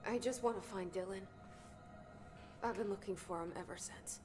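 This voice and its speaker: A woman's voice: medium pitch, normal speed, over music, close to an everyday voice. An actress playing a determined young woman searching for her brother in a video game, speaking tersely and guardedly. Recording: game audio with clear noise.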